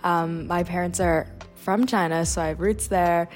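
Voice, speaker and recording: A woman's voice: fairly low pitch, steady tone, moderate pace, faint music behind. A young woman from Vancouver with a Canadian accent, hosting a student podcast in a conversational way. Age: young adult.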